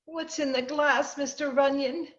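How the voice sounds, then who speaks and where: An actress playing a bewildered, horrified wife in melodramatic 1940s radio style, on a dull remote home recording. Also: female voice, high voice, fairly even intonation, a little slow. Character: bewildered, horrified wealthy wife, high and fluttery. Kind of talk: 1940s radio drama acting.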